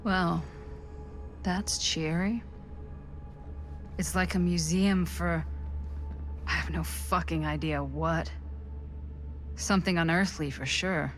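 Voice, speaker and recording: A woman's voice: lowish voice, steady tone, slow, long pauses, soft background music. A woman playing a practical, skeptical investigator in a video game, speaking matter-of-factly. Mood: wary, dry.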